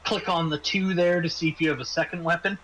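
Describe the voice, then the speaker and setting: A man acting as game master, improvising scene descriptions in halting phrases with frequent repeats. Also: a little high, fairly even intonation, a bit bright, low-quality recording. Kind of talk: improvised narration of a tabletop game. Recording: faint background noise.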